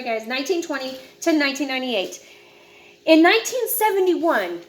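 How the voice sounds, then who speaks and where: A woman reading a nonfiction book aloud, informally, with casual asides and stumbles she corrects herself. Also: sits high. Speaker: read-aloud presenter.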